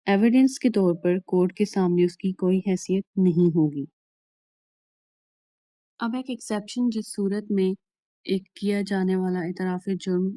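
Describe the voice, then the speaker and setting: Slow, mellow Pakistani woman lecturing. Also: lowish voice, dry recording. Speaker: law lecturer. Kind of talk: explanatory lecture mixing English legal terms with Urdu.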